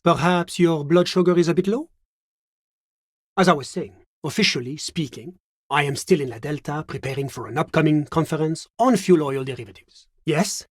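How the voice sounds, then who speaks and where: An actor playing a suave, evasive government bureaucrat in a video game, with a French accent and a formal, polite, measured delivery. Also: male voice, on the high side, no echo, studio quality. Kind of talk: scripted game character dialogue.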